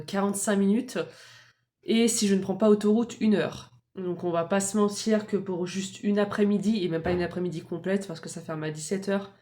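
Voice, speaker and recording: A woman in her thirties chats to her viewers in a relaxed, candid way. Her voice sits a little low for a woman, and she fills her sentences with hesitations and soft laughter. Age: 30s. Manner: chatty and candid, with frequent fillers and soft laughs. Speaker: vlogger. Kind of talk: casual confiding vlog chat.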